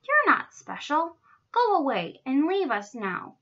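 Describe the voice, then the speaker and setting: A woman's voice: high voice, huge pitch swings, measured pace, bright, forceful tone, voice pushed up, heavily acted. A woman voicing haughty, dismissive zoo animals in a children's story, brusque and scornful, slightly dull recording. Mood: scornful, unwelcoming.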